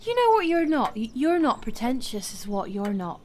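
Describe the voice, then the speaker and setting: A fairly high-voiced young woman, frank and world-weary, played by an actress, speaks in a naturalistic audio-drama style. She is wry and confiding, a lovelorn drinker who hides little. There is faint noise behind her. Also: very animated, moderate pace, darkish tone. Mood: wry, tipsy.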